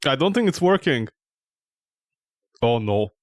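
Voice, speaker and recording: A man's voice: mid-range voice, very animated, measured pace. A man streaming a game with casual, mocking, exasperated commentary and plenty of swearing. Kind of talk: casual, profane gameplay commentary.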